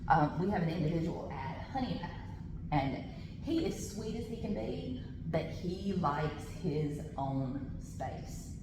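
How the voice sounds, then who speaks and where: Loud-voiced woman trainer in an echoey room. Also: on the low side, sing-song, takes it slowly. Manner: chatty, personal asides, frequent restarts. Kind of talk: classroom training talk. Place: large training room with tables. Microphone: camera on the table. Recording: echoey room, background noise.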